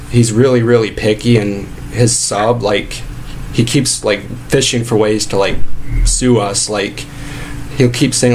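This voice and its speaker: A man playing an aggrieved fast-food worker making a halting, rambling, repetitive complaint in a casual way. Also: on the low side, natural voice. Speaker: prank caller posing as a sandwich shop employee. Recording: clearly noisy phone prank recording.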